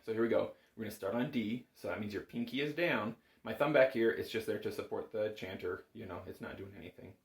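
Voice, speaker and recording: A man with a fairly low voice gives an informal lesson. He explains things step by step in a reassuring, conversational way. The sound is noticeably roomy, as if recorded in a small room at home. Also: fast talker, few pauses. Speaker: bagpipe instructor. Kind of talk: casual instructional talk.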